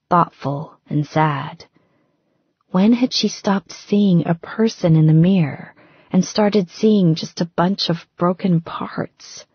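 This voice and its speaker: A woman reading romance fiction as an audiobook narrator, with expressive, dramatic phrasing. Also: medium pitch, husky, no room sound, dull audio.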